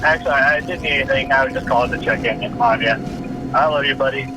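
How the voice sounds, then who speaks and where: A man plays a laid-back, affectionate friend in a fiction podcast. He speaks casually and jokingly at a middling pitch, with music clearly behind him. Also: fast, lightly acted, rough recording. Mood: joking, fond. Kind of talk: naturalistic fiction podcast voicemail acting.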